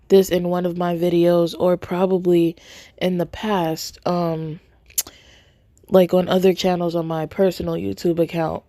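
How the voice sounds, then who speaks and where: A woman hosting a podcast in casual commentary, hoarse from a cold and thinking aloud haltingly, close to a phone mic. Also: on the low side, mellow, no echo. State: hoarse from a cold, voice nearly gone. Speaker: podcast host. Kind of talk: casual spoken commentary to listeners. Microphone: phone held close to mouth.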